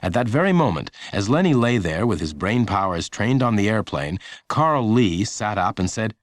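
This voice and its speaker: A man reading a children's audiobook as scripted narration, in a measured, dramatic storytelling manner. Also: fairly low pitch, sing-song, no room sound, clean studio sound.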